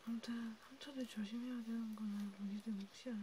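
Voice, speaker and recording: A woman playing a calm, caring vampire healer in a hushed, casual ASMR role play, sometimes drifting into whispers. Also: fairly high pitch, fairly quick, background noise, lightly acted. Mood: calm, concerned. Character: female vampire healer, calm, caring toward a wounded friend. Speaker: ASMR creator.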